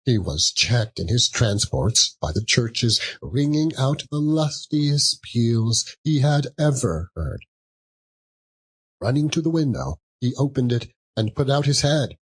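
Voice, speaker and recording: A man narrating an audiobook as a theatrical storyteller, with a measured, dramatic delivery. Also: mid-range voice, very animated, breathy edge.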